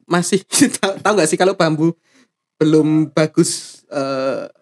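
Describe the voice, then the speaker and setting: A man's voice: a little high, a little slow. A man co-hosting a casual chat podcast, telling stories playfully and laughing often, from Java. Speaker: podcast co-host. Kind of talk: casual banter.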